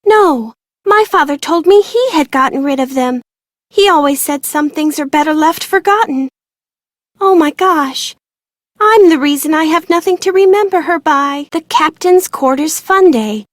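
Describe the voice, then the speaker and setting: A high-voiced, withdrawn young woman. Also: lively intonation, a little slow, no room sound, clean studio sound. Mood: shaken, remorseful. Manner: plain-spoken, self-deprecating, guarded. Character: withdrawn, glum young woman haunted by childhood loss. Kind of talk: video game voice acting.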